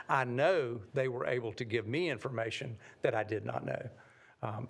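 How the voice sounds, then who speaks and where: Gravelly man answering hesitantly. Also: mid-range voice. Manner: hesitant, many restarts and ums. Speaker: county commissioner candidate. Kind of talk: forum answer.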